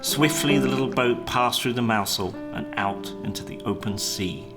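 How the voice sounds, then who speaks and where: A man narrates a storybook tale in a measured, dramatic read-aloud style. His voice sits at an average male pitch. Plucked guitar music plays strongly behind him throughout. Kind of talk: scripted storybook narration for a live puppet show.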